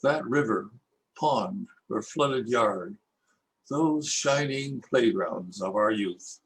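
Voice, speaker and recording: An older Canadian man reading his own rhyming poems aloud in a measured, lilting recitation. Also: fairly low pitch, a little hiss or hum, poor audio. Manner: lilting, expressive recitation with rhythmic rhyme. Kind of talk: slow, measured poetry reading.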